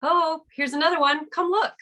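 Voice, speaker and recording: A woman reading a cheerful, loving young mother at a table read, bright and affectionate. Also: high voice, steady tone, normal speed.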